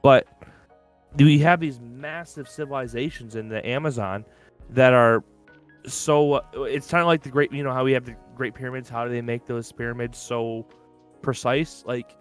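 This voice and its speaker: A man co-hosting a relaxed online podcast, rambling through his thoughts in a mid-range voice. He leans on "like" and "you know" constantly. Faint music plays under the talk. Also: medium pitch, normal speed. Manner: rambling, heavy use of "like". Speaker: podcast co-host. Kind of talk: casual chat. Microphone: open-ear headphones and desk mic.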